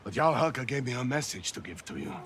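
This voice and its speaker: A man's voice: mid-range voice, big pitch swings, a little fast, heavy background noise, close to an everyday voice. A man playing a seasoned Norse warrior with dry humour in a video game cutscene. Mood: wry, grave.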